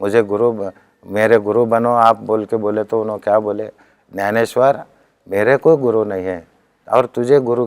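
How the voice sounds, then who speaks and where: A man's voice: lowish voice, clear, resonant tone, dry recording. A man with a Deccan Hindi accent giving a spiritual discourse as a teacher, telling accounts earnestly and quoting speech as he goes.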